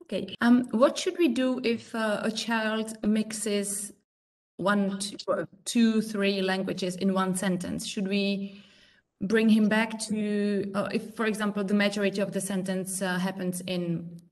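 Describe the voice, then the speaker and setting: A woman moderator whose first language is Czech reads out audience questions. Her voice sits at a middle pitch. She hesitates often, filling gaps with small sounds. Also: fairly even intonation, measured pace. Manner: hesitant, many fillers.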